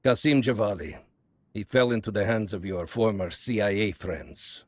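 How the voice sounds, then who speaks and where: An actor playing a calm, ruthless Soviet spymaster, speaking slowly and with cold confidence. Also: male voice, fairly low pitch, no echo, close to an everyday voice. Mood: cold, commanding. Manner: slow, measured, coldly confident.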